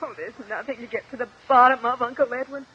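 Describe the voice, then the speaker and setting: A woman's voice: on the high side, quick pace, crowd noise, natural voice. An actress playing a defiant, spoiled young niece in her twenties in a 1950 radio drama. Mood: anxious, sulky. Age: 20s. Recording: muffled, noisy vintage 1950 radio recording.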